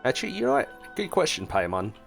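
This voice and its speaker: A male gaming streamer with a mid-pitched voice gives casual reaction commentary. He is playful and jokey, reading and answering game dialogue over faint music. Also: huge pitch swings, fairly quick, vocal fry. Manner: playful, joking, reads dialogue aloud.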